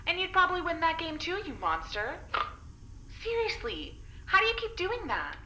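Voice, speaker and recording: A woman's voice: extremely high pitch, huge pitch swings, moderate pace, sharp tone, heavily acted. A woman playing a sardonic, defiant captive in an audio drama, teasing and blunt in manner. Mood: mock outrage. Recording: roomy sound with faint noise.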